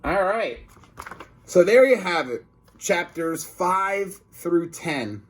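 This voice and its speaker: A man reading a storybook aloud to children in an expressive, teacherly way, with asides to his young listeners. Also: high voice, breathy edge. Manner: slow, deliberate, teacherly.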